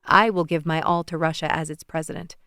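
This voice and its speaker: A woman voicing a confident, playful young man in an audiobook, speaking softly in a slightly lower register. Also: steady tone, a little fast, clear, resonant tone, no room sound, studio quality. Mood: earnest. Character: charming young male president, confident, playful suitor. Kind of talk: audiobook character voice.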